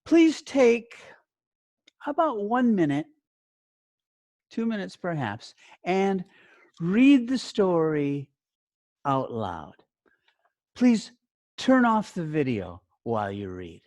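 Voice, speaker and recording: A man teaches in a slow, deliberate way, breaking his instructions into short phrases. His pitch moves around a great deal as he stresses points and checks in with short questions. The sound is close and dry. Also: very animated, a little mellow, no echo. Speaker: teacher trainer giving a lesson. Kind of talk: instructional talk to learner teachers.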